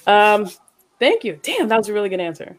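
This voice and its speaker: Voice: mid-range voice, no room sound. Chatty moderator, mid voice, kinda self-deprecating. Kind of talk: casual panel discussion.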